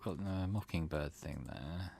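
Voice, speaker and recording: A man's voice: very deep voice, measured pace, vocal fry, darkish tone, slight background noise. A man doing let's play commentary as a gaming host, musing aloud to himself as he goes. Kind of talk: let's play commentary, reading visual novel text aloud. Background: game music.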